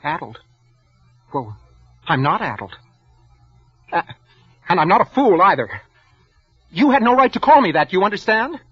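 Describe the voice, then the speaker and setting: An actor playing a meek, touchy, hesitant bookkeeper in an old radio drama, recorded with muffled vintage sound. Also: male voice, high voice, very animated, moderate pace, pauses often, slightly rough, no room sound, exaggerated voice. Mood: flustered, defensive. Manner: hesitant, stammering, defensive. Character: meek, jittery, touchy male bookkeeper, henpecked daydreamer. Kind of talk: 1940s radio drama acting with first-person narration.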